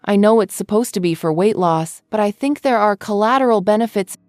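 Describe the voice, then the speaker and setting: An actress playing a chatty, self-satisfied therapy patient in an audio story. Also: female voice, medium pitch, brisk, a little mellow, no room sound, clean studio sound, soft background music, close to an everyday voice. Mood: relieved, satisfied. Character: chatty, upbeat woman therapy patient, pleased with herself. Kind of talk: scripted audio drama dialogue.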